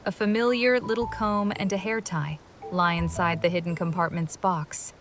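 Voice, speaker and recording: A woman's voice: lowish voice, fairly even intonation, moderate pace, faint music behind. A woman reading a scripted first-person game story, moving between narration and dialogue. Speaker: voice-over reader of a game story.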